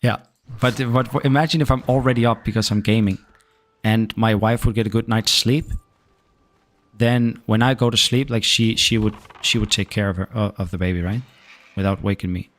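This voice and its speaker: A man's voice: lowish voice, fairly even intonation, normal speed. A young man from Denmark with a Danish accent, chatting casually with viewers while streaming a game and swearing now and then. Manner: laid-back, swears casually, chats to viewers. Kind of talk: casual gaming stream chat.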